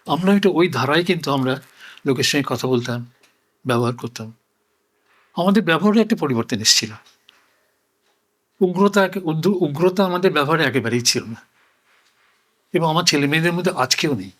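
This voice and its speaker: An older man reflecting on his life in conversation, speaking deliberately and repeating phrases for emphasis. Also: on the high side, expressive, fairly bright tone. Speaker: interview guest. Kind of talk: reflective oral-history conversation.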